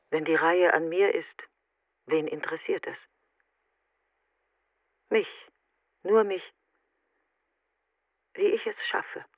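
A woman's voice: on the low side, no room sound. An actress playing a grieving, self-doubting woman in a literary radio monologue, in short introspective fragments through a narrow filter. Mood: anxious. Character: grieving woman afraid of not being enough. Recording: thin, phone-like narrow band, very dry.

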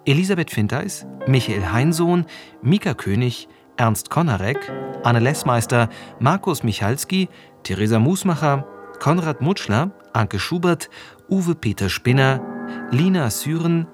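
A man's voice: medium pitch, expressive, a little slow. Male radio drama announcer over music. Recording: music behind the voice.

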